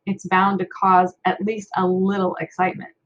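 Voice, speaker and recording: A woman narrating a children's book aloud in an expressive storytelling style. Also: medium pitch, normal speed, highs cut off.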